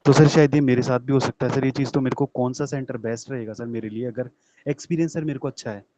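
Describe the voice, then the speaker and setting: A man's voice: mid-range voice, steady tone, fast talker, noisy background. A young man with an Indian accent asking a question on a call, very deferential, addressing the listener as sir over and over. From Rajasthan, India. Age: young adult. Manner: deferential, says sir constantly. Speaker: webinar caller, accountancy candidate.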